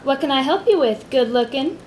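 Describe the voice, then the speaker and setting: A woman's voice: high voice, lively intonation, a little mellow, a little hiss or hum. A woman playing a flirtatious, sassy records clerk in a comic radio play. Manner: flirtatious, drawn-out greetings and pet names.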